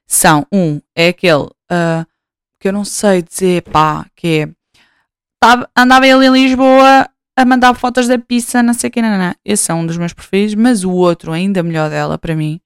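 A woman's voice: mid-range voice, fairly quick, clean tone, no room sound, studio quality. A woman in her twenties with a European Portuguese accent, hosting a podcast in casual chat, rambling, swearing freely and mocking herself. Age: 20s. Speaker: podcast host.

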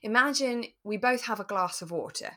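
A woman co-hosting a podcast, explaining things step by step in a relaxed, conversational way. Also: fairly high pitch, normal speed. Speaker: podcast co-host.

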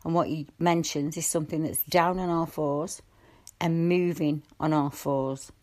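A woman's voice: low-pitched, fairly even intonation, measured pace, darkish tone. A woman hosting, narrating and earnestly appealing to listeners to get in touch. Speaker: host.

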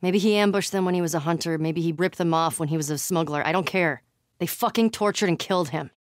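A woman's voice: fairly low pitch, quick pace, dry recording, clean studio sound, lightly acted. A young woman with an American accent playing a tough, foul-mouthed, wry and guarded survivor in a video game, terse and sardonic. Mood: bitter.

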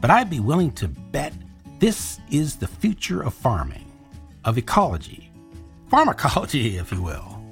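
Animated, persuasive pitchman. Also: moderate pace, creaky, faint music behind. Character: persuasive, wry male pitchman for futuristic farming. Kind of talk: audio drama acting, interview guest.

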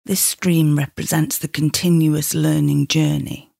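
A middle-aged woman leading a guided meditation in a soothing, measured, instructing manner. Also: low voice, little pitch movement, creaky, mellow, no echo, clean studio sound.

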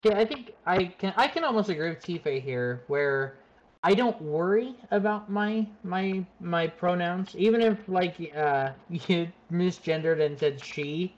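A man with a fairly high voice for a man talks in a relaxed group chat. He hesitates often and fills his pauses with "like" and "uh.". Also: muffled recording. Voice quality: higher-pitched for a man. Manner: hesitant, filler-heavy. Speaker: streamer. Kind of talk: casual chat.

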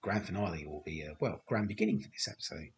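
A man hosting a podcast, chatting through a TV commentary with a slightly croaky voice, rambling and hesitant with many fillers and restarts, self-deprecating. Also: deep voice, fast, slight background noise. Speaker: podcast host. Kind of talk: casual film commentary.

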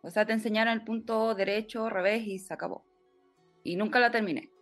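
A woman's voice: a little high, fairly even intonation, a little fast, soft background music. A middle-aged woman, a podcast guest telling stories in a casual, chatty way with frequent laughs. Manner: chatty, laughs often, speaks in loose run-on stretches. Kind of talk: casual conversational interview.

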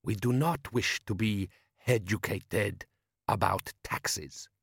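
A man doing a slow-spoken jovial desert chieftain. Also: lowish voice, vocal fry, fairly bright tone, slightly rough, no room sound, studio quality, exaggerated voice. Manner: slow, broken English, halting foreign accent put on.

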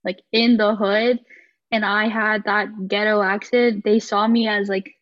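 A woman's voice: mid-range voice, little pitch movement, a little slow. Young woman on a podcast, hesitant, lots of ums, kinda muffled audio. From United States. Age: 20s. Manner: thoughtful, hesitant, many fillers. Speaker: podcast guest. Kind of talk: casual podcast conversation.

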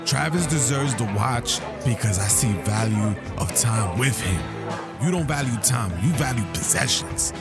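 A man's voice: medium pitch, animated, normal speed, loud music behind the voice, natural voice. A man playing a firm but caring older father in an audio drama, giving fatherly advice. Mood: stern.

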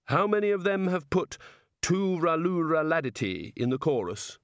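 A man's voice: a little high, normal speed, no room sound, studio quality, voice pushed up, lightly acted. Man voicing a hapless, exasperated prisoner. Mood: desperate, exasperated. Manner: fretful, hesitant. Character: hapless, exasperated male fugitive prisoner, anxious and sardonic. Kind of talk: comic audiobook character voice.

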